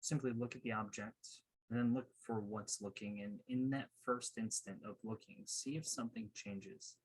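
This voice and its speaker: A man leading a guided meditation as a coach, giving measured, instructive directions in a husky voice. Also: medium pitch, steady tone, a little mellow, low-quality recording.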